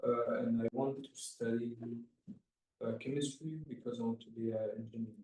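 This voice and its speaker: A man's voice: mid-range voice, fairly even intonation, moderate pace, warm tone. A teenage male student from Switzerland giving a short recited introduction. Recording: distant, roomy mic.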